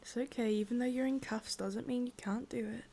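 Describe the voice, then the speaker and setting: A woman with an English accent playing a possessive, lovesick witch in a whispered ASMR roleplay, coaxing softly and intimately, close to the microphone. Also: on the high side, steady tone, a little fast, slight background noise, natural voice. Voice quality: breathy, whispery.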